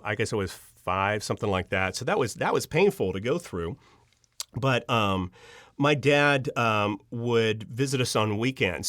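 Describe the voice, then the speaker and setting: A man's voice: medium pitch, a bit bright, no echo. A man from Pennsylvania tells personal stories as a podcast guest. He rambles expressively and slips into bits of dialogue, in a mid-range voice with a dry studio sound. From Pennsylvania, United States. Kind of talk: casual personal storytelling.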